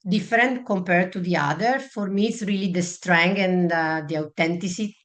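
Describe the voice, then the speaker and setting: A woman's voice: fairly low pitch, normal speed. A middle-aged woman from Italy with an Italian accent, presenting a business webinar over a video call in earnest, emphatic English with some hesitations. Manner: earnest, emphatic, with fillers and non-native phrasing.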